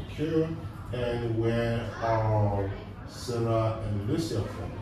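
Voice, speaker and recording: A man's voice: medium pitch, slow pace. A man in his thirties giving a spoken English test as a teacher, putting short, simple questions and repeating them to prompt. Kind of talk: oral exam questioning, slow and simple classroom speech. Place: small indoor test room. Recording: echoey room, distant mic, noisy.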